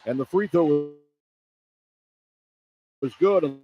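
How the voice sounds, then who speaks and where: A man's voice: a little high, extremely slow, muffled recording, over music. A man doing live radio play-by-play of a basketball game in short, clipped phrases as the announcer. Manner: clipped phrases, animated on big plays. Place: indoor college basketball arena. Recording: live arena broadcast with background noise. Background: arena ambience.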